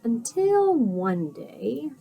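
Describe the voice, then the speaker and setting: A woman reading a picture book aloud like a teacher, with expressive narration, dramatic pauses and stretched-out key words. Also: a little high, creaky, lo-fi sound, a little hiss or hum.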